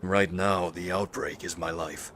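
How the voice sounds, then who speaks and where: An actor plays a steadfast male doctor with a low, bright-edged voice. He is calm, earnest and measured as he consoles an old friend in an audio drama, over faint background noise. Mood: reserved.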